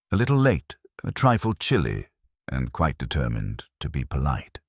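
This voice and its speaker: A British man reading literary mystery narration in a measured, formal, dryly wry manner. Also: a bass voice, no room sound, clean studio sound. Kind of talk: literary audiobook-style scripted narration of a mystery story.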